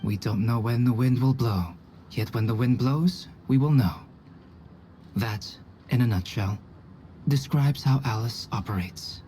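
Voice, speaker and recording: A man playing a composed, scholarly young man in a video game, speaking in a measured, polite, formal way. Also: mid-range voice, mellow, natural voice. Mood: calm, measured. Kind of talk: game voice acting. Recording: faint ambient noise behind.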